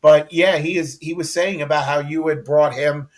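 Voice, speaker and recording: Man, American accent, slightly high pitch, podcast host, informal, enthusiastic, casual conversational interviewing. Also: fairly even intonation, normal speed. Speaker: podcast host, former radio colleague.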